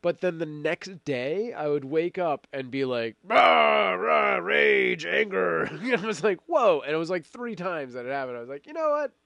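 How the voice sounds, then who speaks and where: A man's voice: high voice, lively intonation, moderate pace, gravelly, hardly any pauses, fairly bright tone. A middle-aged man hosting a casual live stream while fighting a cold, rambling with fillers and restarts. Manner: rambling, many fillers and restarts, laughs often. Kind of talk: casual live-stream chat, reading viewer comments.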